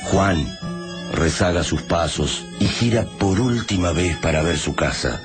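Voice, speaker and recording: An actor playing the solemn narrator of a science fiction radio serial. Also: male voice, deep voice, animated, measured pace. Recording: music behind voice.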